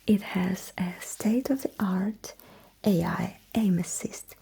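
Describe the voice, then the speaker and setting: A woman performing a sci-fi ASMR roleplay in a breathy whisper, as a courteous, dryly witty alien mecha technician with a measured manner. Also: mid-range voice, mellow, a little hiss or hum.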